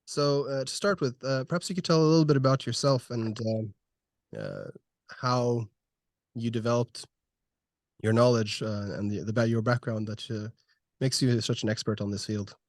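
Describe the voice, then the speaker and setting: A man hosts an interview in a medium-pitched voice. He builds his questions haltingly, pausing often as he searches for the phrasing. The sound is dry, with little room echo. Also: lively intonation. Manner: halting, hesitant phrasing with many pauses. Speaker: interviewer, host.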